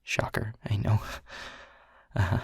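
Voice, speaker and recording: A man voicing a shy, nervous young air elemental in an intimate audio roleplay, breathy and husky, stammering through earnest, flustered talk. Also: extremely slow, dry recording, clean studio sound. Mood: sheepish, nervous.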